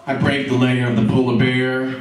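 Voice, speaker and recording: A man voicing a reckless, desperate young man in a dramatic poetry recital, loud and emphatic. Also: medium pitch, flat delivery, normal speed, lightly acted. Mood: indignant. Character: reckless, desperate young male thrill seeker, brash and indignant. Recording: echoey hall, far from the mic.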